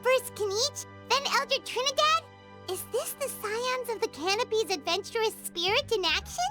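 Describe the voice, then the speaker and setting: A woman voicing a small, excitable floating companion who talks about herself in the third person. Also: very high-pitched, moderate pace, over loud music. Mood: curious. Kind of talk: game cutscene voice acting.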